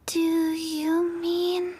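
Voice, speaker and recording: A woman voicing a timid, hesitant young child helper in a game, with halting speech. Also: very high-pitched, completely flat delivery, mellow, heavily acted. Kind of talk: scripted game voice acting.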